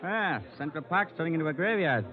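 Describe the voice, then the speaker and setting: An actor playing a jaded, wisecracking city emergency worker in an old radio drama. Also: male voice, on the high side, animated, brisk, close to an everyday voice. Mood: jaded, casual. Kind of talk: 1940s-50s radio drama acting. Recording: dull old radio recording, crowd noise.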